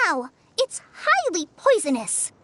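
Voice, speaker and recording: A woman's voice: very high-pitched, huge pitch swings, slow, pushed and bright, slight background noise, heavily acted. A woman voicing a shy, earnest teenage trainee ranger girl in a video game, polite and hesitant. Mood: nervous, earnest. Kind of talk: video game character acting. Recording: music behind the voice.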